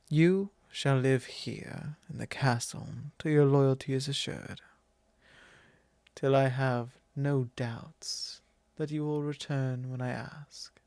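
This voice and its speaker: A man playing a possessive, genteel vampire lord in an ASMR roleplay, speaking formally and haughtily to the listener with frequent pauses. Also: medium pitch, gravelly, darkish tone, dry recording. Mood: possessive, stern. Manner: measured, formal, haughty, pausing often. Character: possessive, genteel male vampire lord, commanding and self-assured.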